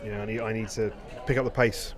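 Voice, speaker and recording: A man's voice: on the low side, little pitch movement, quick pace, a crowd in the background. A man in a casual podcast chat, rambling and often rephrasing himself. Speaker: podcast host. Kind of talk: casual two-person chat.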